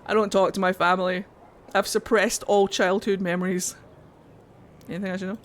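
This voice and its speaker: A woman's voice: medium pitch, level tone, brisk. Young female streamer from Glasgow; casual, profane, laughing, mid-range voice. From Glasgow, Scotland. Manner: swearing, laughing often, rambling. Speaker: game streamer. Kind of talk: casual livestream chat while gaming.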